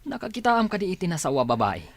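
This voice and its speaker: A man's voice: high-pitched, huge pitch swings, quick pace, full character voice. A Filipino-accented man playing a cheerful, teasing buddy in an audio drama. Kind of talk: amateur audio drama acting.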